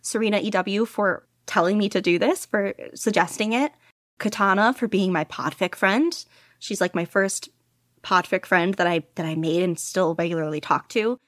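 A woman's voice: medium pitch, moderate pace, no room sound, clean studio sound. Gushing, laughing woman guest. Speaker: guest podficcer. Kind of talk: casual interview chat.

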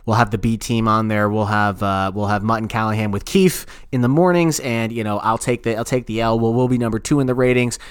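A man's voice: lowish voice, fairly even intonation, fairly quick, hardly any pauses, smooth, dry recording, studio quality. A man co-hosting a podcast, talking casually and giving opinions in a profane, rambling way with repeated phrases. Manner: profane, repetitive, rambling explanations. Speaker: podcast co-host. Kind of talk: casual opinionated talk about sports radio.

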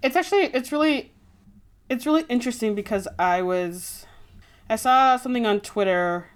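A woman's voice: a little high, expressive, normal speed. A woman co-hosting a podcast in a casual book discussion, speaking informally and saying "like" a lot. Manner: informal, heavy use of "like". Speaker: podcast co-host.

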